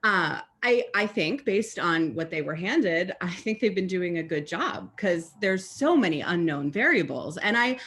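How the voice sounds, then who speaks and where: A woman's voice: lowish voice, expressive, a little slow, hardly any pauses, a bit bright. A woman acting in a documentary play as an unworried, apolitical participant in a group discussion. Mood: defensive.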